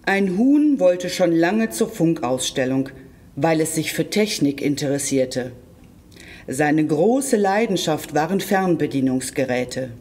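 A storyteller reading a children's tale aloud expressively and deliberately, addressing young listeners directly. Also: mid-range voice, expressive, a little mellow.

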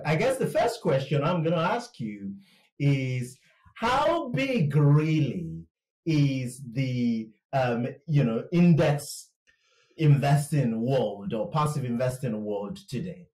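Lively, animated male podcast host. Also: mid-range voice. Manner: enthusiastic, halting with repeated words. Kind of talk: conversational interview. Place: home room with plants, indoors. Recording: echoey room sound.

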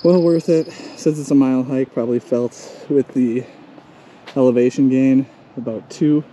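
A man's voice: mid-range voice, steady tone, normal speed, mellow. A man in his thirties narrating a casual travel vlog, upbeat and chatty, filming himself at arm's length outdoors. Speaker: travel vlogger. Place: outdoors on a hiking trail and at breweries. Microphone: handheld camera at arm's length. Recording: noisy outdoor ambience.

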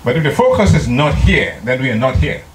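An older man from Trinidad, a pastor preaching a slow, deliberate sermon into a handheld microphone in a church, now and then singing hymn lines. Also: medium pitch, very animated, slight background noise. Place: church sanctuary. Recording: echoey church room.

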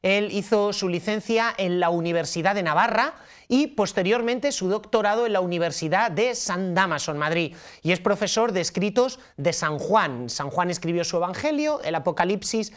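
A man's voice: sits high, animated, moderate pace, clear, resonant tone. A man in his thirties hosts a religious TV programme with a Castilian Spanish accent. His delivery is enthusiastic and conversational, like a teacher eager to share, recorded on a studio microphone. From La Mancha, Spain. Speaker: host, priest and Bible teacher. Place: dark TV studio at a table. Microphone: studio microphone on a stand.

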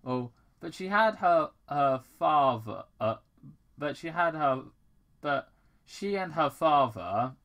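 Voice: medium pitch, lively intonation, takes it slowly, a bit bright. An amateur British reader voicing a sensible, exasperated daughter in a fan fiction story. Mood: puzzled.

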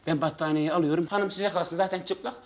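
A man's voice: a little high, steady tone, a little fast, lightly acted. An actor in his thirties playing a hapless struggling auditionee in a sitcom, eager, cheeky and rambling with awkward politeness. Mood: resigned, sardonic. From Tokat, Turkey. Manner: hesitant, over-polite, rambling. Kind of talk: naturalistic sitcom acting.

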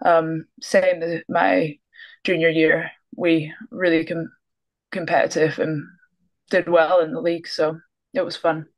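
A woman's voice: low-pitched, flat delivery, measured pace, poor audio. A young Scottish woman talking casually as a guest on a video call, easygoing and often trailing off with a laugh. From Scotland. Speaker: guest basketball player. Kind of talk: casual interview. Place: small room indoors.

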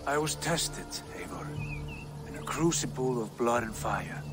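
A man's voice: mid-range voice, lively intonation, measured pace, loud music behind the voice, natural voice. An actor playing a haughty, wounded Viking lord who believes he is a god, speaking solemnly and grandly in a dramatic video game. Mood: solemn, fervent.

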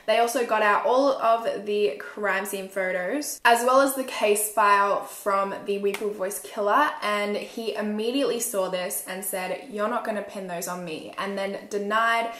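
A woman's voice: medium pitch, steady tone, measured pace, few pauses, a bit bright. Young Australian woman in a roomy space. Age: 20s. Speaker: true crime video host. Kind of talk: conversational true crime storytelling. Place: small room at home, indoors. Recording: roomy indoor echo.

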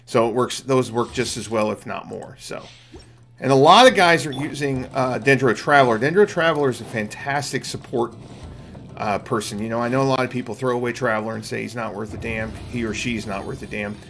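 A man's voice: mid-range voice, fairly quick, vocal fry, a bit bright. A middle-aged man giving casual, explanatory live commentary to viewers as he plays a video game. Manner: explanatory, talking through play to viewers. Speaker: gaming streamer. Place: indoor room at home. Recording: game music behind the voice. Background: video game music.

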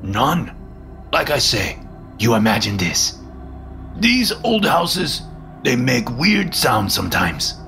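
A man's voice: mid-range voice, huge pitch swings, slow pace, creaky, long pauses, bright, forceful tone, pitched above the performer's own voice, exaggerated voice. A man voicing an eccentric, over-friendly landlord with a foreign accent and broken phrasing, in a told story, with music behind. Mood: dismissive, insistent. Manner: jovial, intrusive, broken phrasing. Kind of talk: storytelling character voice.

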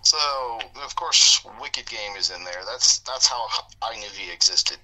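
A man co-hosting a casual music podcast, his voice a bit froggy and going hoarse, talking in a relaxed, rambling way with fillers and chuckles. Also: fairly low pitch, measured pace, poor audio. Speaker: podcast co-host. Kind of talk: casual chat.

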